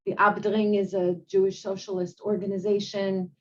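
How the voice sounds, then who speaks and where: A woman in her sixties, a rabbi, telling her own story reflectively on a video call panel, with many pauses and ums. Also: medium pitch, level tone, highs cut off, slight background noise. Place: home room.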